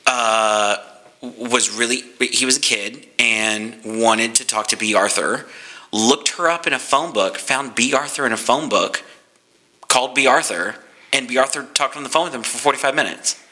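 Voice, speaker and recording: A man's voice: mid-range voice, moderate pace, sharp tone. A man talking about his business in a casual, enthusiastic, playful way. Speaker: podcast guest, photo booth business owner.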